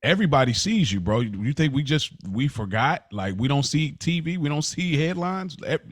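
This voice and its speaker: A man co-hosting a podcast in casual chat, thinking his answers through out loud. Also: a little high, very animated, moderate pace, no echo, clean studio sound. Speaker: podcast co-host.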